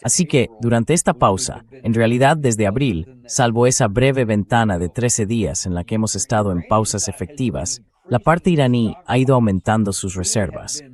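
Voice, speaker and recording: A man's voice: fairly low pitch, animated, moderate pace, few pauses, a little mellow. A man hosting a political discussion, speaking in an emphatic, sceptical way with pointed rhetorical questions. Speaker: talk show host and political commentator.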